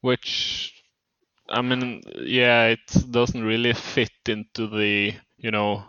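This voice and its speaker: Slow, deadpan man with dry jokes. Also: mid-range voice, clean tone, dry recording. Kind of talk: casual chat. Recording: dull, dry lo-fi recording.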